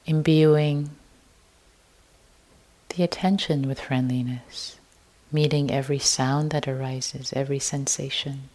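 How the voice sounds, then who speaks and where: A woman meditation teacher with a low, mellow voice guides a practice. She speaks gently and very slowly, in short soft phrases that leave space between them. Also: fairly even intonation.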